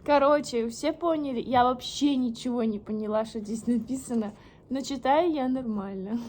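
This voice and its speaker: A young woman whose first language is Russian, reading an English book aloud haltingly and stopping to puzzle over words. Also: fairly high pitch, a little mellow, slight background noise. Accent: Russian, reading English with a slight American tinge. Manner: slow, halting reading with restarts and puzzled asides. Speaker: vlogger.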